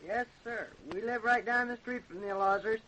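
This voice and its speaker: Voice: mid-range voice, fairly even intonation, a little fast, bright, forceful tone, close to an everyday voice. A performer playing a polite, earnest young American boy witness in an old-time radio drama. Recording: noisy old radio recording with clicks.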